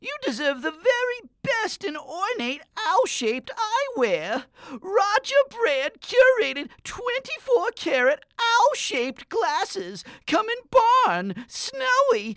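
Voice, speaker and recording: A woman's voice: extremely high pitch, wildly swooping pitch, slow, fairly bright tone, no room sound, exaggerated voice. A woman playing a playful saleswoman in a parody radio commercial. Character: playful pitchwoman in a spoof commercial.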